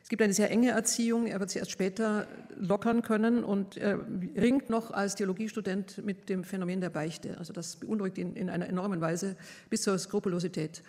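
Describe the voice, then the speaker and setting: A woman giving a measured academic lecture, pausing often with hesitations as she speaks. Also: mid-range voice, steady tone, darkish tone, in a large hall. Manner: measured, thoughtful, with frequent hesitations.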